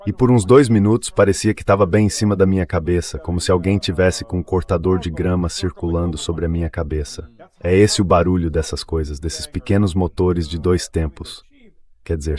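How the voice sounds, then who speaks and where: Very deep-voiced man explaining conversationally. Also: a little mellow. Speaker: interview guest. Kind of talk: dubbed translation of a spoken interview.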